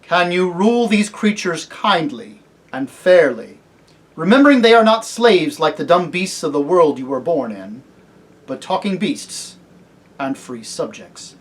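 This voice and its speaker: Male reader as kingly talking lion, storybook, grave, commanding, formal, unhurried delivery. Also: a little high, animated, pitched above the performer's own voice. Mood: grave, questioning. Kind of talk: storybook character reading.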